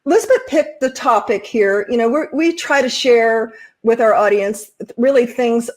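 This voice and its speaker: A middle-aged woman with a slightly high voice hosts a casual interview show. She encourages her guest, laughs easily and talks in a loose, chatty way. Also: moderate pace. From Southern California. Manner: warm, encouraging, laughs often. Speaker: host.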